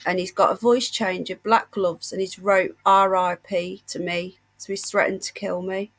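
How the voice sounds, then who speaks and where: A woman's voice: mid-range voice, steady tone, moderate pace, a bit bright, rough recording. A woman hosting a casual livestream, unwell with a painful chest, chatting in a gossipy, indignant storytelling manner. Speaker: livestream host.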